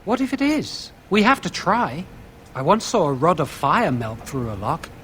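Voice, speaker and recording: A man's voice: a little high, very animated, normal speed, a bit bright, slight background noise. An actor playing an earnest young male mage apprentice in a video game, urgent and persuasive. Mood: urging. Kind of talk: scripted video game dialogue.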